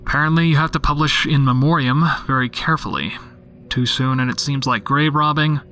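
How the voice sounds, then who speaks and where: An actor playing a jaded, sceptical male homicide detective in an audio drama, dictating case notes in a dry, deadpan, irritable manner. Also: on the high side, brisk, slight background noise. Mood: wry, weary.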